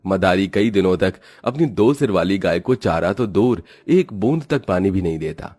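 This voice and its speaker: A man narrating a cartoon moral story in a steady, dramatic storytelling style. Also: deep voice, normal speed, soft background music.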